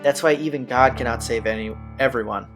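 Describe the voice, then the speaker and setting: A man's voice: medium pitch, fairly quick. A man reading visual novel text aloud in an informal, slangy way, as host of a playthrough. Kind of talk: reading game text aloud with casual asides. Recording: game music behind the voice. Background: visual novel soundtrack.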